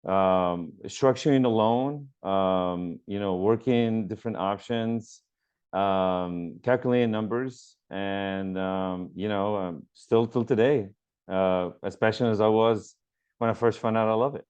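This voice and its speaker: A man's voice: on the low side, a little slow, a little mellow, smooth, dull audio. A man from Lebanon, a mortgage broker guest, answering interview questions earnestly with many ums and uhs over a remote call. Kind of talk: conversational interview.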